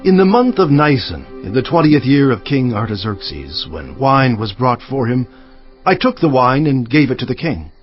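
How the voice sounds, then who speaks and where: Devout male scripture narrator, played by an actor: solemn, emphatic, highly animated, soft music behind. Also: moderate pace, lightly acted. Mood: solemn narrating. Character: Nehemiah, devout, earnest male cupbearer narrating his memoir. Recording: slightly dull audio with music behind.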